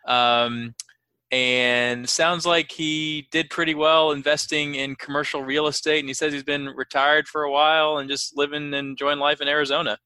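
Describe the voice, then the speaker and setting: A man hosting a podcast, chatting casually with his guest in a slightly halting way, repeating words as he goes. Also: fairly high pitch, flat delivery, sharp tone, smooth, no echo. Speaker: podcast host, interviewer. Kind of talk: casual interview chat.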